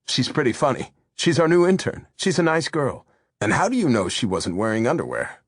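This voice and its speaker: A man's voice: medium pitch, very animated, fairly quick, no room sound, studio quality, pitched above the performer's own voice. A man voicing a younger, easygoing boyfriend who pleads and soothes in a storybook reading. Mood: placating.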